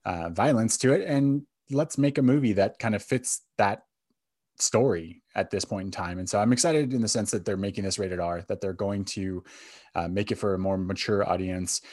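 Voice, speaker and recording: A man's voice: fairly low pitch, moderate pace, darkish tone, no echo, clean studio sound. A man hosting a podcast in casual chat about entertainment, speaking in a hesitant, think-aloud way. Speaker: podcast host.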